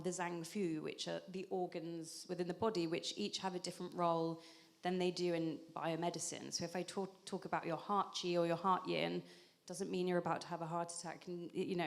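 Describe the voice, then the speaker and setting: Female panelist, 30s, low gravelly voice, talks it through with ums and restarts. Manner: explanatory, frequent ums and restarts. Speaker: acupuncturist panelist. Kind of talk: conversational panel explanation.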